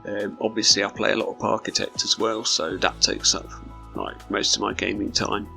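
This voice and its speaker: Hoarse, gravelly man commentating. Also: fairly low pitch, little pitch movement, moderate pace, sharp tone, faint music behind. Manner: rambling, laid-back, with frequent ums and small laughs. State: hoarse, croaky voice. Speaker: gameplay commentator. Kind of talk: relaxed build commentary over gameplay.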